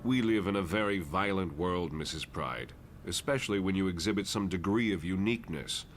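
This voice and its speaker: A man playing a composed, idealistic professor in a motion comic, formal, measured and polite. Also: deep voice, close to an everyday voice. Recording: music behind.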